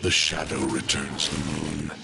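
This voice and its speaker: Very deep, gravelly villain. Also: male voice, fairly even intonation, very noisy, heavily acted. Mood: grim prophecy. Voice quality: deep gravelly, breathy growl. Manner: ominous, slow, declamatory. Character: menacing vengeful shadowy assassin, grim and fanatical. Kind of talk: video game villain acting. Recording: game audio under loud music.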